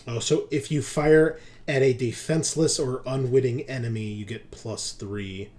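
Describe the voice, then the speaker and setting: A man's voice: mid-range voice, steady tone, moderate pace, darkish tone. A man talking casually as game master, explaining rules and narrating the action of a tabletop game.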